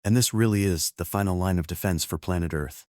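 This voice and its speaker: A man playing a serious, measured TV news co-anchor reporting live in a disaster drama. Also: low voice, a little mellow, no echo, clean studio sound, lightly acted. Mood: sober, tense. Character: sober male TV news co-anchor facing catastrophe.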